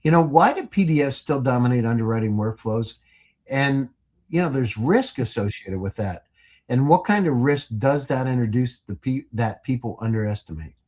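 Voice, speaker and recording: A man hosts an interview show and asks his guest one question after another. His pitch sits in an ordinary middle range. He keeps asking curious follow-ups in a casual, chatty way. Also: expressive, normal speed, a little mellow. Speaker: podcast host.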